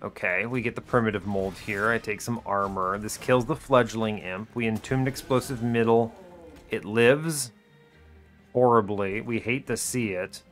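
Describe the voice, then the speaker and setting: A man's voice: lowish voice, measured pace, a bit bright. Man thinking aloud over music, wry and casual. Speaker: video game streamer explaining his play.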